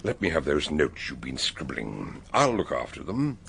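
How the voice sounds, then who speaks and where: Deep, creaky British detective. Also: male voice, huge pitch swings, normal speed, a bit bright, a little hiss or hum, full character voice. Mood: quietly conspiratorial. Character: shrewd, theatrical older male amateur detective. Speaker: actor in a radio drama. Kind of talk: radio drama acting.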